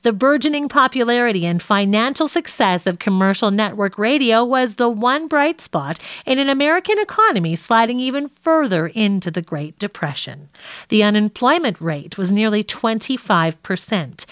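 A woman reading a scripted nonfiction audiobook in a measured, articulate way. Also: fairly high pitch, animated, fairly bright tone, no room sound, studio quality. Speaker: audiobook narrator.

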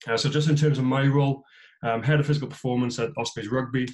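A man's voice: mid-range voice, steady tone, quick pace, darkish tone. A man with a South Welsh accent talks through a webinar presentation over a video call. His voice sits in a middle register, and he speaks loosely, with frequent fillers and restarts. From South Wales. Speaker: head of physical performance, guest speaker. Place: small room at home, indoors. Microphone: video call on a laptop.

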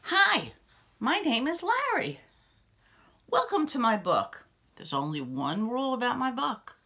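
An excitable, mischievous storybook monster, played by a woman, with a high voice that leaps about wildly. It frets and urges the listener on in bright, forceful exclamations. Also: unhurried, breathy edge, heavily acted. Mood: cheerful. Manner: exclaiming, gasping, urging the listener. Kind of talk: children's picture book read-aloud.

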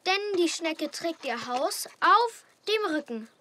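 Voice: very high voice, lively intonation, takes it slowly, pushed and bright, exaggerated voice. A performer playing an eager, thoughtful young schoolboy in a dubbed children's cartoon, earnest and given to thinking aloud. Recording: music bed behind the voice.

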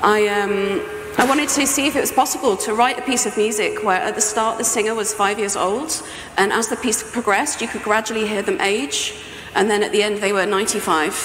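A woman's voice: mid-range voice, steady tone, fairly quick, fairly bright tone. A middle-aged woman giving an informal, explanatory conference talk as a sound artist. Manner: conversational, explanatory. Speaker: sound artist presenting a project. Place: indoor talk venue with projection screen, on stage. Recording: echoey hall, faint background noise.

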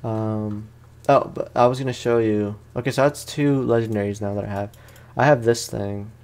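A man's voice: on the low side, fairly even intonation, moderate pace, darkish tone. A man doing casual let's play commentary, talking in an informal, slangy way and often repeating his phrases. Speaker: gaming streamer.

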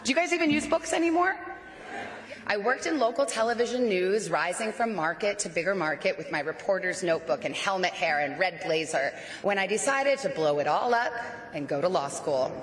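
Woman in her 40s giving a fired-up grad speech, lower voice, echoey tent pa. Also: expressive, fairly quick, slight background noise. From Arizona. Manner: warm, playful, rousing oratory. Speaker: commencement speaker. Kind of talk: commencement speech. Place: large outdoor tent at a graduation. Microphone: podium mic.

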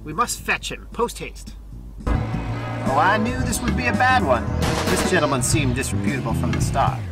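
An actor in his thirties playing a weary, judgmental priest in a campy game cutscene, formal and genteel in his exasperation. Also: fairly high pitch. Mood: urgent, formal, then disapproving. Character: weary, judgmental runaway priest fretting over his duty.

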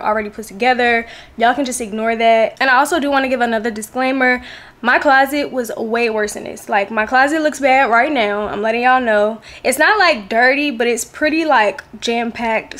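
A woman's voice: on the high side, a bit bright. A young American woman chatting casually to her viewers as a lifestyle vlogger, with a slangy, familiar way of talking. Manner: slangy, familiar, addresses viewers as besties and girl.

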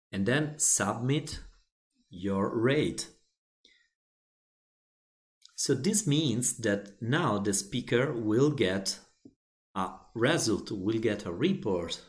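A man whose first language is Italian, walking through a software feature step by step in a plain explaining manner with frequent restarts. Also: on the low side. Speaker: software tutorial presenter. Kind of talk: screen-recorded software walkthrough.

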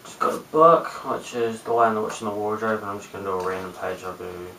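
A young man in his late teens with a New Zealand accent, chatting casually to camera in a low-key, hesitant mumble. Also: fairly even intonation, quick pace, pushed and bright. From Christchurch, New Zealand. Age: young adult, late teens. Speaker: amateur video blogger. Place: small bare room indoors. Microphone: webcam at a short distance. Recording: roomy, distant webcam mic with hiss.

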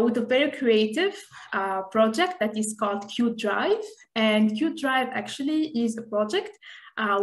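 A woman with a Tunisian accent and a fairly high voice presents on a video call. She explains things in a measured, hesitant way, and the room echoes clearly around her. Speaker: presenter, community representative. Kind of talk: online presentation. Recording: roomy video call sound.

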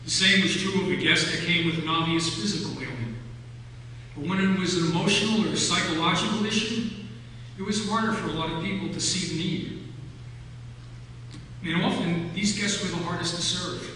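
Older man, preacher. Bright, forceful voice, measured sermon delivery, heard from far off in a reverberant church. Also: high-pitched. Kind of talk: sermon, telling stories from notes. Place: large church sanctuary, at the pulpit. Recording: echoey church hall from far off, hum.

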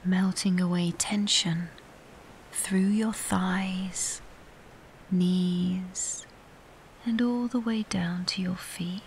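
Slow, whispery woman guiding meditation. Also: fairly low pitch, poor audio. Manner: calm, soothing, unhurried with long pauses. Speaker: meditation guide. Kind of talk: scripted guided meditation. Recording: steady background hiss.

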